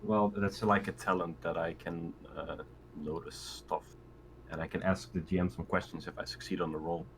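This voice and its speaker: A man's voice: low-pitched, steady tone, normal speed, a bit bright. A man chatting casually as a player in a tabletop role-playing game. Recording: faint background noise.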